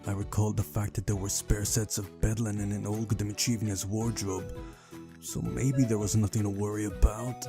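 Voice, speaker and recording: Male narrator for a visual novel playthrough, lowish pitch, clear music bed underneath. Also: flat delivery, moderate pace. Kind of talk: reading story narration aloud.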